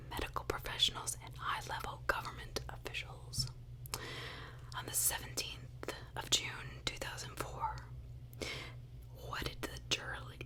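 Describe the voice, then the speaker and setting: A woman whispering a true crime story in ASMR style, in a conversational way that puts questions to the listener. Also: poor audio.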